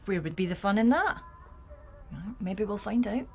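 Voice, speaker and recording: An actress playing a no-nonsense police detective in a radio drama, with a Scottish accent and a matter-of-fact manner. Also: female voice, medium pitch, animated, normal speed, creaky, slightly rough. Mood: serious.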